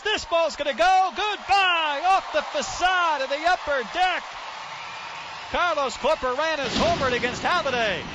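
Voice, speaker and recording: A man calling live baseball play-by-play as an announcer from a stadium booth. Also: high-pitched. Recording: noisy ballpark broadcast, loud crowd. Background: roaring stadium crowd.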